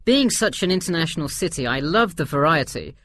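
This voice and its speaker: A man's voice: a little high, big pitch swings, brisk, fairly bright tone, dry recording. A man playing an easygoing middle-aged tourist who gives agreeable, explanatory answers in a scripted listening-test dialogue. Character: easygoing forty-one-year-old male tourist answering survey. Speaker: survey respondent.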